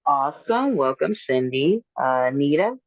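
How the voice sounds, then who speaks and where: A woman's voice: low-pitched, big pitch swings, unhurried, no echo, dull audio. A woman hosting a dating show, calling on guests one by one with short cheerful acknowledgements.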